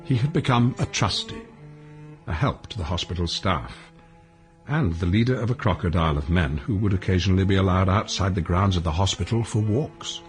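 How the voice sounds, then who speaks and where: A man as the narrator of a radio play, telling a bleak life story in a deliberate, matter-of-fact, sober way. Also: sits low, expressive, soft background music. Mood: sober, measured. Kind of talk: scripted radio drama narration.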